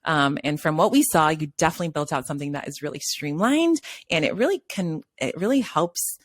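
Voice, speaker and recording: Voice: medium pitch, lively intonation, no room sound, clean studio sound. Upbeat host with Brazilian accent. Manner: upbeat, gushing, quick repeated praise. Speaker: podcast host. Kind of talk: casual interview chat.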